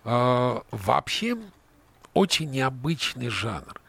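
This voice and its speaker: A man hosting a radio show with a deeply gravelly, husky voice, chatting with his guest, asking questions and telling anecdotes. The sound is dry and close, with no room around it. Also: big pitch swings, drawn-out words, bright, forceful tone, dry recording. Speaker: radio host.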